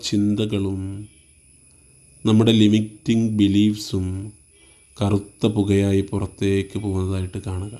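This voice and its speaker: A man with a Malayali accent leading a guided meditation, giving slow, soothing instructions in short phrases with pauses. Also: on the low side, monotone, dark tone.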